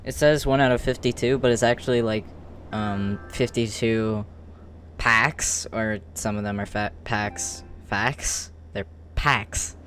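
A man's voice: lowish voice, steady tone, takes it slowly, fairly bright tone. Young man rambling casually over noise. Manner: rambling, informal. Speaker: gaming video creator. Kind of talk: casual gameplay commentary. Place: small room at home.

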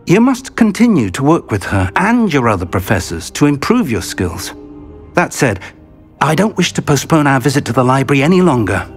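An elderly British wizard professor in a fantasy game. He is cautious and kindly, with formal, measured wording and a lively, rising and falling pitch. Music plays underneath. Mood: cautious, encouraging. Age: older. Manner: measured, formal, erudite phrasing. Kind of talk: video game cutscene acting.

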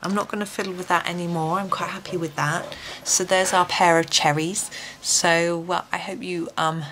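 Woman, lowish voice, slightly croaky, soft and half-whispered, colouring tutorial, faint room hiss. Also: flat delivery, normal speed. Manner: soft-spoken, often drops to a near whisper. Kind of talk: relaxed step-by-step tutorial. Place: home desk, filmed from above.

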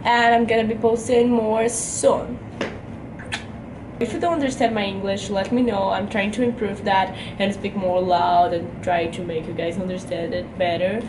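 A woman's voice: mid-range voice, level tone, moderate pace, noisy background. A young woman from Brazil with a Brazilian Portuguese accent, chatting casually to camera in a hotel room about her trip and filling her talk with "like". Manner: chatty, frequent "like" fillers. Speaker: vlogger. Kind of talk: casual travel vlog to camera. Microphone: camera at arm's length.

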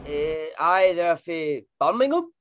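A man's voice: a little high, big pitch swings, slow, smooth. A man with a Glaswegian accent playing a blunt, slangy working-class actor who swears through his banter in a comedy sketch. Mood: matey, grumbling. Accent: Glaswegian Scottish. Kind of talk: comedy audio sketch acting.

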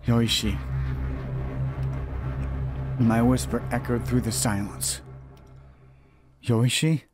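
A man narrating a horror visual novel as a let's play host, reading aloud and sometimes stumbling and rereading phrases. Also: medium pitch. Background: game music.

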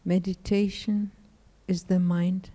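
A woman leading a guided meditation as a teacher, speaking in measured phrases with pauses. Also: on the low side, flat delivery, mellow, slightly rough. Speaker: meditation teacher, Buddhist nun.

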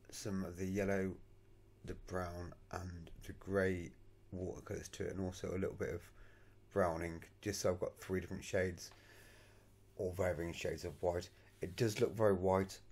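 A man's voice: low voice, a little mellow. A man narrating a voice-over for his scale model painting tutorial in a plain, matter-of-fact way, thinking aloud as he goes.

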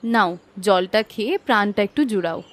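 A woman playing an affectionate, bossy wife in a cartoon fairy tale, with a Bengali accent and an expressive manner. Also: medium pitch, normal speed, natural voice. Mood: caring. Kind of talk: cartoon voice acting, domestic dialogue.

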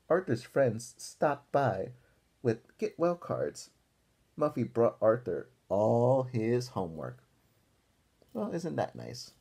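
A young man reading a picture book aloud to children as a teacher, pausing for encouraging asides and questions. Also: mid-range voice, mellow, slightly rough. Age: young adult. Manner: encouraging, asks listeners questions. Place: small room at home, indoors.

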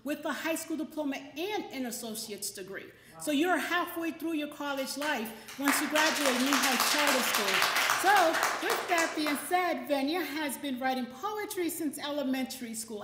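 A woman's voice: sits high, fairly bright tone, slight background noise. A middle-aged woman hosting and reading at a poetry event, speaking emphatically into a stand microphone indoors. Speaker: poetry event host and poet. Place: indoor library event room. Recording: roomy, echoey event space.

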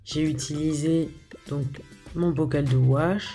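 A woman's voice: low-pitched, steady tone, takes it slowly, mellow, soft background music. A woman talking casually over a video of her home cooking, hesitant, with lots of filler sounds and restarts. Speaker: home cook showing her recipes.

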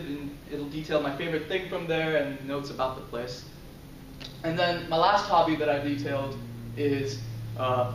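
Young male student, casual presenter with lots of ums, a touch high in pitch, recorded from afar in a roomy lecture room. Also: a little hiss or hum. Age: young adult. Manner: casual, filler-heavy, chuckles often. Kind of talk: class presentation. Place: lecture room, in front of a projector screen. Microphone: far camera. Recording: roomy, distant camera audio.

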